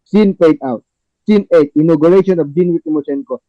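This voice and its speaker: A man with halting, repetitive, non-native phrasing, narrating a play reading and chatting with the cast as podcast host. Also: a little high, dark tone, no room sound, highs cut off.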